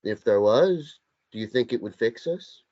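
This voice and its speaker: A reader plays a teasing ex-boyfriend who keeps pushing with a lively, up-and-down delivery. The recording is dull and lo-fi. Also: medium pitch, measured pace, lightly acted. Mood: needling. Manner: needling, persistent. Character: Jesse, teasing ex-boyfriend who won't leave. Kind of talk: table read of a stage play.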